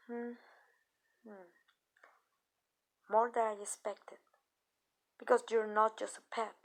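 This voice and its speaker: A woman playing a possessive yet tender female vampire mistress in an intimate ASMR roleplay, speaking softly and deliberately with hesitant pauses. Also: mid-range voice, vocal fry. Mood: tender, confiding.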